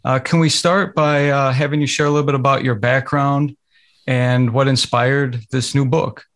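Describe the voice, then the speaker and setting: A man's voice: mid-range voice, little pitch movement, normal speed, a bit bright. A man hosting a podcast, introducing his guest from a script and then asking questions. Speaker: podcast host.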